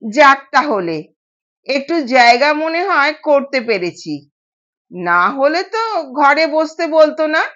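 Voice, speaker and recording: A woman voicing a gentle, dutiful young bride, polite and soothing, in an audio story with dull sound. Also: high-pitched, lively intonation, takes it slowly, pauses often, heavily acted. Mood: hopeful.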